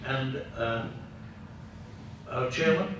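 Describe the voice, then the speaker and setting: An older man with a Scottish accent, a veteran actor giving a halting, rambling after-dinner vote of thanks with theatrical emphasis. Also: mid-range voice. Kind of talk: after-dinner speech with anecdotes. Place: large room at a club luncheon. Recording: very echoey room from far off, noisy.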